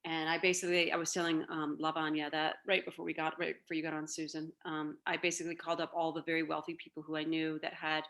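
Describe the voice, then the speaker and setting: A woman's voice: low voice, level tone, fast talker, hardly any pauses, a bit bright. A woman in her forties chatting casually on a video call, rambling along with plenty of fillers. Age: 40s.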